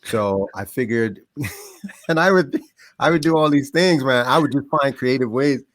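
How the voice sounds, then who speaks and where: A middle-aged man from the Bahamas, a podcast guest telling stories about growing up in a lively, casual way. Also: medium pitch, unhurried, no echo. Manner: animated, laughs often, trails off into quieter asides. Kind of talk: casual storytelling.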